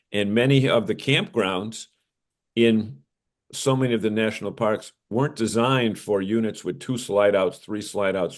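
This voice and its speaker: An American man with a slightly low voice chats as co-host of a news show. His delivery is casual and conversational, like someone discussing stories with a colleague. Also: darkish tone.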